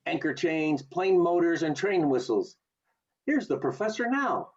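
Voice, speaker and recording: An actor playing an earnest, idealistic young man in an amateur radio play, impassioned and halting, over a video call. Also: a little high, very animated, heavily acted. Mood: eager. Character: earnest idealistic young man defending his late father. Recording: muffled online video call audio.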